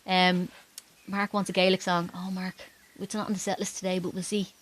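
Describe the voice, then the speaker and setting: A woman's voice: on the low side, little pitch movement, brisk. A woman from Dublin with an Irish accent, chatting casually and informally as a folk singer hosting a livestream, talking to viewers between songs. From Dublin, Ireland. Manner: chatty, informal, greeting people by name. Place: small wooden garden shed.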